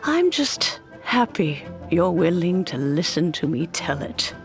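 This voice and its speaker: A woman playing a gentle, wise storyteller in a video game, telling legends in a measured, solemn way. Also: on the low side, very animated, full character voice. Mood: gentle, earnest. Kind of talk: video game character acting. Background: music.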